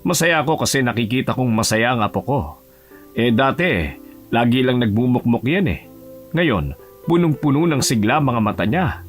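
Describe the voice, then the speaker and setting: A man acting a warm, grateful elderly grandfather in a Tagalog audio drama, over music. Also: mid-range voice, lively intonation, moderate pace, close to an everyday voice. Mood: grateful, teasing.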